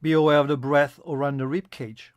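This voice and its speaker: A man giving a guided yoga nidra meditation as an instructor, in a calm, soothing, instructional manner. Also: fairly high pitch, fairly quick, dry recording, highs cut off.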